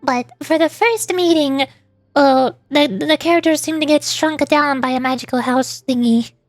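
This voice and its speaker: A woman's voice: sits high, moderate pace, no room sound, faint music behind. A woman streamer chatting casually and ramblingly about games, often repeating words as she goes. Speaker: streamer and VTuber.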